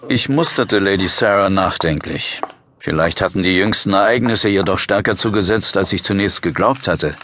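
Pensive detective hero of a horror radio play, deep, measured, matter-of-fact. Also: male voice, a bit bright, slight background noise. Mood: pensive, calm. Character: calm, thoughtful male investigator narrating his case. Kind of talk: audio drama dialogue and first-person narration.

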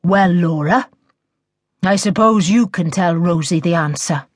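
Storybook role: stern, weary male teacher played by a woman, sarcastic, unhurried, close dry sound. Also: lowish voice, dry recording. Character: weary, exasperated middle-aged male history teacher.

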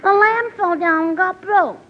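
A woman's voice: extremely high pitch, measured pace. A woman voicing a mischievous little girl who keeps asking childish, ungrammatical questions, in 1940s radio comedy acting. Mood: cheeky. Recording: dull old radio broadcast, faint hiss.

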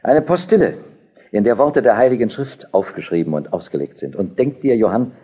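An actor playing a devout, questioning young German student in a historical audio drama, speaking in an earnest, declamatory way. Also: male voice, lowish voice, very animated, a little fast, warm tone, husky. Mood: earnest, eager.

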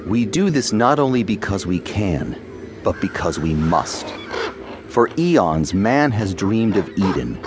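An actor playing a philosophical, sardonic young fighter pilot in an audio drama, measured and dry. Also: male voice, low-pitched, background noise. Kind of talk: audio drama narration and dialogue. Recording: music behind the voice.

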